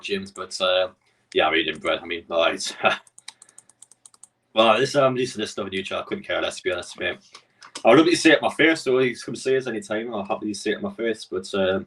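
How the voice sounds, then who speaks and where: A man with a Geordie accent hosts a casual live stream and rattles along quickly in a low voice. He rambles and answers his viewers' messages as he goes. His voice sits back from the microphone, and keyboard clicks come through now and then. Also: fairly bright tone, distant mic. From Newcastle.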